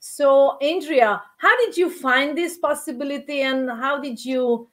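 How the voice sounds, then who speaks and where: High-voiced Hungarian-accented woman host. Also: a little slow, a crowd in the background. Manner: encouraging, speaks straight to viewers. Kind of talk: casual interview chat.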